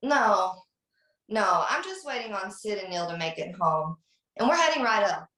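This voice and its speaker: Woman acting bright, lilting, affectionate mother. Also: medium pitch, very animated, moderate pace. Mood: warm, excited. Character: sweet, affectionate mother chatting on phone. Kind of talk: table read of a film script over video call. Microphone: video call. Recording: roomy, echoing video call audio.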